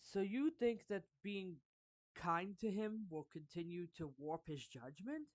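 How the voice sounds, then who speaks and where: A performer voicing a suave, courtly villain turned gently protective friend in an anime fan roleplay, speaking formally and deliberately with pauses mid-phrase. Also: mid-range voice, animated, no room sound, lightly acted. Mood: explanatory, grave. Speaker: character voice actor. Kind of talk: anime fan roleplay monologue addressed to the listener.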